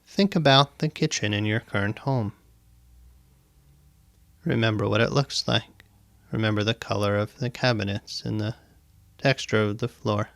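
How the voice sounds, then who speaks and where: A man guiding a meditation as a teacher, speaking in deliberate, instructive phrases with pauses and repetition, close to the microphone. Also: fairly low pitch, gravelly, fairly bright tone, dry recording. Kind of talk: guided meditation, spoken instruction. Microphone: close microphone, dry sound.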